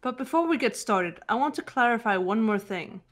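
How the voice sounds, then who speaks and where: A woman voicing a cool, composed teenage girl detective in a game, measured and challenging. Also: on the high side, lively intonation, a bit bright. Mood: cool, probing. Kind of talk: character voice acting in a game fan dub.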